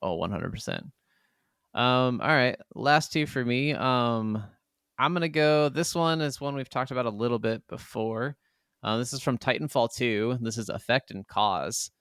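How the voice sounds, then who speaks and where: A man's voice: medium pitch, normal speed, a bit bright, clear, resonant tone, dry recording, clean studio sound. A man co-hosting a podcast in an enthusiastic, emphatic, casual way, swearing freely as he talks. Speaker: podcast co-host. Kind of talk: casual video game discussion.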